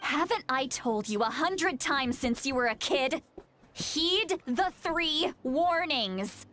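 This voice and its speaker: High-voiced, scolding, youthful-looking female elder. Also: animated, takes it slowly, a bit bright, full character voice. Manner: scolding, exasperated, sarcastic. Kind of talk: anime game voice acting. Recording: music behind the voice.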